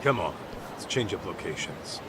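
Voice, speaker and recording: An actor playing a brash middle-aged detective in a video game dub, with an American accent and blunt, slangy delivery. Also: male voice, low voice, sing-song, moderate pace, noisy background. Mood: casual, alert. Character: brash, street-tough middle-aged male detective, gruffly fatherly. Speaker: private detective.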